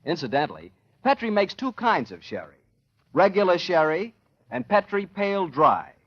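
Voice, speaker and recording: Animated old-time radio announcer man. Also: sits high, normal speed, no echo. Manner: folksy, emphatic salesman. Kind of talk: 1940s radio sponsor pitch and scripted chat. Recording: muffled vintage radio recording.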